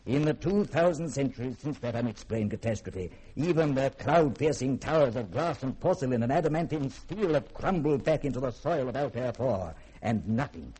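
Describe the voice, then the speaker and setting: A grave and learned male scientist in a vintage radio play. His voice is dark and husky, and he speaks in measured, portentous oratory. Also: medium pitch, rough recording. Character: grave, erudite scientist, proud and imposing. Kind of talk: 1950s-style radio drama monologue.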